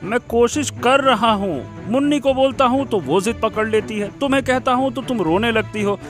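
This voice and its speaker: A man voicing a weary, exasperated village farmer husband in an animated story, pleading in a melodramatic way. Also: extremely high pitch, normal speed, faint music behind.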